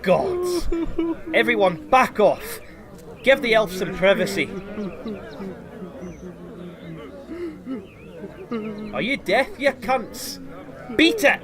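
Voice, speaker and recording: A man's voice: high voice, very animated, takes it slowly, crowd noise, full character voice. A man playing a blunt, sweary but caring dwarf doctor with a Scottish accent in a fantasy audio drama. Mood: urgent, commanding.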